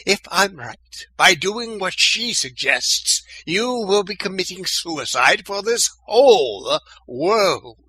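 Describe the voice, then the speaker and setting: Man acting a bright, swooping, theatrical audio-drama hero. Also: sits high, sing-song, slow, few pauses, breathy edge, exaggerated voice. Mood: urgent. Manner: theatrical, exclaiming. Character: excitable, loud male robot and urgent time-travelling Doctor.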